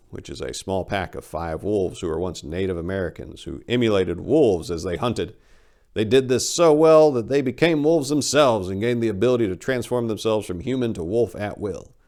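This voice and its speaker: Deep-voiced, very animated male story narrator. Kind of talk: animated dramatic reading of a first-person story.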